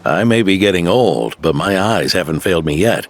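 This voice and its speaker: Older veteran knight, deep voice, lively intonation, gentle, sighing, reflective. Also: male voice, normal speed, slight background noise. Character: aging veteran knight, weary and kindly. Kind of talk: video game cutscene dialogue.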